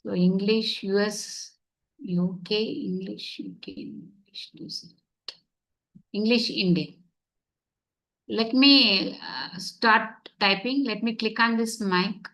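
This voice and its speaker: A woman's voice: medium pitch, slow pace, fairly bright tone. Online class teacher, woman, native Tamil speaker; step-by-step explainer who keeps checking students are following. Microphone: computer mic on video call.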